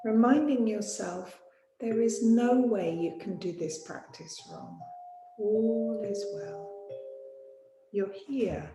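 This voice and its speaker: A woman teaching yoga nidra, guiding a sleep meditation in a gentle, soothing, unhurried manner with long pauses. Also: medium pitch. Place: small studio. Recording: roomy echo, music behind voice.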